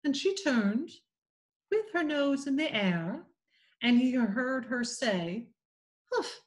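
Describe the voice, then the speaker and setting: A woman reading a classic novel aloud, slow and expressive, giving the characters voices. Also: high-pitched. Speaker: community reading program volunteer reader.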